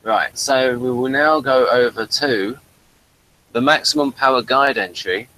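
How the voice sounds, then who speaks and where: A man's voice: mid-range voice, unhurried, bright, forceful tone, a little hiss or hum, low-quality recording. A man hosting an informal review, muddling along and thinking aloud as he presents. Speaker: host presenting an episode review. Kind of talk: casual chat, informal presenting.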